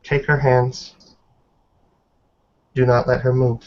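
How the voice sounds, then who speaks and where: A man voicing a stern female werewolf elder who passes judgement in grave, deliberate, formal words in a tabletop game. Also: medium pitch, flat delivery, darkish tone, natural voice. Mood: commanding. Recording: muffled lo-fi online call.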